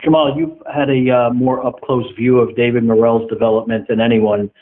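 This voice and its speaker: A man asking measured questions as a sports reporter, heard over a narrowband phone connection. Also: medium pitch, level tone. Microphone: phone on a conference call.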